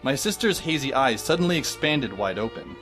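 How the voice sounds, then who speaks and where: A man's voice: mid-range voice, animated, a little fast, over music, lightly acted. A man narrating as a wry teenage boy. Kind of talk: audio drama narration.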